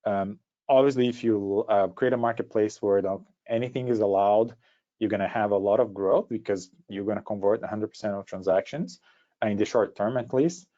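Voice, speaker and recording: A man with a Brazilian accent presenting an informal webinar in a halting way, often repeating words as he goes. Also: fairly low pitch, warm tone. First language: Portuguese. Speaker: startup co-founder giving a presentation. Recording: dull, lo-fi online call audio.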